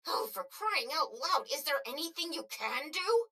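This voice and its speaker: A woman's voice: high-pitched, sing-song, normal speed, sharp tone, exaggerated voice. A woman playing an anxious young girl who feels useless, faltering and emotional, in a video game. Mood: distressed, helpless.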